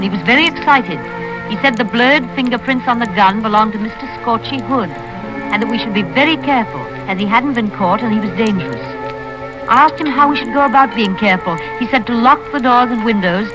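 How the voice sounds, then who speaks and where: A woman's voice: medium pitch, lightly acted, rough recording. Woman playing a genteel fussy spinster, matter-of-fact, sly, old radio drama, music behind. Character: prim, chatty, unflappable spinster sister, sly and fussy. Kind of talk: 1940s-50s radio drama acting, first-person narration. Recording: vintage radio recording with music.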